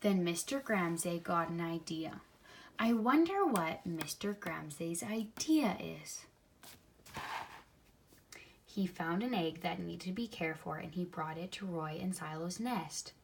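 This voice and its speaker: A woman reads a picture book aloud for young children, expressively, pausing to add simple comments of her own. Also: lowish voice, animated, creaky, a little hiss or hum. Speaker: storybook reader.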